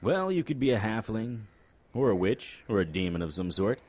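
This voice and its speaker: An actor playing a cocky, unflappable supernatural private investigator in an audio drama, full of wry, flippant banter. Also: male voice, fairly low pitch, very animated, moderate pace, a little mellow. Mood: teasing.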